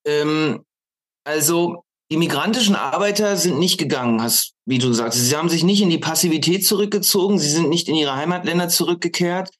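A man speaks as a guest in a political talk. He is deliberate and halting, often repeating a word as he shapes his argument. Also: fairly high pitch, fairly bright tone. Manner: halting, deliberate, repeats words while formulating. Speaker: interview guest, author. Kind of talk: political discussion.

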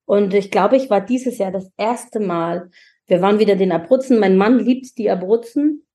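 A woman's voice: mid-range voice, normal speed. A woman in her forties from Germany, a podcast guest telling her own story in an open, emotional way with many restarts. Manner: expressive, emotional, frequent restarts. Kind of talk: candid personal storytelling.

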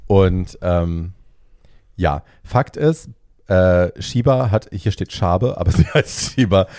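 Deep, dark-voiced man retelling a film. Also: takes it slowly, no echo, studio quality. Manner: lively retelling sprinkled with English phrases. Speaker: podcast co-host. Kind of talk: casual chat retelling a film.

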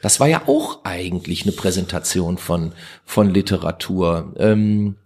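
A man's voice: sits low, unhurried, darkish tone, husky. A man hosting a podcast interview, feeling his way through long, casual questions with many hesitations. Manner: searching, hesitant, stretches out questions.

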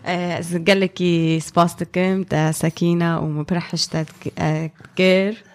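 A woman with a slightly low voice who talks extremely fast. She works as a podcast interpreter, translating on the spot. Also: level tone, a little mellow, soft background music.

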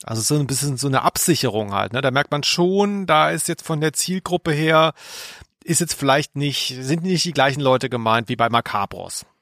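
Gravelly male voice, mid pitch, podcast co-host, casual analytical chat, dry close sound. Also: moderate pace, fairly bright tone, dry recording.

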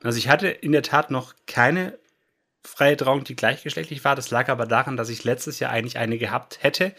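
A man in his thirties who officiates weddings, talking in a relaxed, rambling way on a podcast. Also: mid-range voice, normal speed. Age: 30s. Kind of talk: casual chat.

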